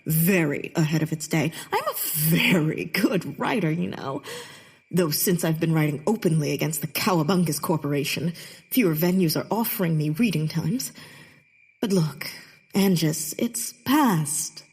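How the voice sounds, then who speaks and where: A woman's voice: deep voice, expressive, measured pace, darkish tone, slightly rough, full character voice. An actress playing a bitter, theatrical Victorian woman poet in a comedic period audio drama, with a haughty, sardonic delivery. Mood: defensive, wry.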